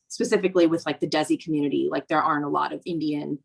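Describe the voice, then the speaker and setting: A woman's voice: deep voice, steady tone, rapid-fire, vocal fry, slightly rough. A young woman from Virginia, speaking reflectively in a documentary interview, with frequent "um" and "you know". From Virginia, USA. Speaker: snowboarder interviewee.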